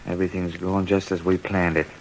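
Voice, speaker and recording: A man playing a curt, overconfident burglar in a radio drama, gruff and dismissive. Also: low-pitched, little pitch movement, a little fast, a little hiss or hum, poor audio. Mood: irritated.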